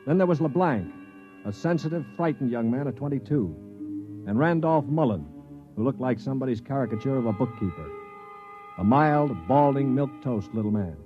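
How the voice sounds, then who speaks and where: An American actor playing a war-scarred man recalling his fellow captives, narrating a 1950s radio drama in a measured, descriptive way. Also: mid-range voice, expressive, lightly acted. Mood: sober, reflective. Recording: muffled 1950s radio recording, loud music behind.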